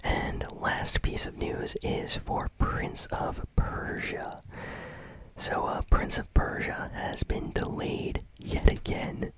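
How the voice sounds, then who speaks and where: A man whispering gaming news in a relaxed ASMR style, chatty and full of fillers. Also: slow pace, poor audio. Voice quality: unvoiced whisper. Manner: casual whisper with many fillers.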